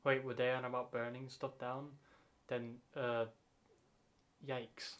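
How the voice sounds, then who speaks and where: A man reacting casually off the cuff, in a halting way, often repeating and rephrasing himself. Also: medium pitch, flat delivery, a bit bright. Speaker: reactor and channel host.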